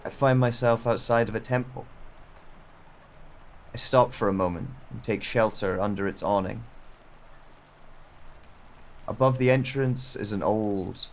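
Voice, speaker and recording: A man playing a brooding wanderer with lost memories, narrating an audio drama in the first person in a measured, reflective way. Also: on the low side. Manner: measured, reflective, with deliberate pauses. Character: brooding amnesiac wanderer narrating his journey. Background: chatter of other voices.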